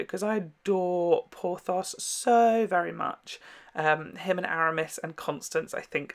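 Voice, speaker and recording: A man's voice: high-pitched, creaky, fairly bright tone. A man giving a casual, candid TV reaction, rambling and gushing with some swearing. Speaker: reaction video host. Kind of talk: casual TV episode reaction chat.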